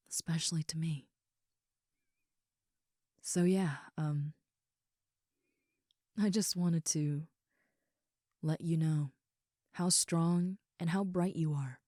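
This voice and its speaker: A woman playing a caring, affectionate young ogre roommate in an intimate comfort roleplay, speaking softly and sincerely in a rambling, reassuring way. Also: fairly low pitch, no echo, clean studio sound.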